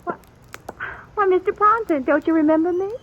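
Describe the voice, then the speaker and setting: A woman's voice: very high-pitched, moderate pace, narrow, tinny audio. An actress playing a headstrong, polite young ranch woman in a radio western, self-possessed and folksy. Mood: bright, coy. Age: young adult. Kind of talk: 1950s radio western acting.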